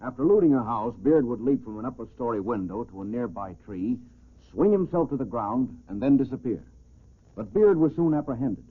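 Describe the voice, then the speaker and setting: An actor playing a grave American police chief, solemnly narrating a case in a 1940s radio crime drama with a husky voice. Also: male voice, mid-range voice, lively intonation, a little fast. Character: grave male small-town police chief recounting a case. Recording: tinny, band-limited old radio recording.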